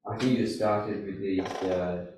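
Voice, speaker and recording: A man in a workshop audience asks a polite, roundabout question. His voice sits somewhat low. He is far from the mic in a strongly echoing room. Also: fairly even intonation, a little slow, background noise. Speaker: workshop participant asking a question.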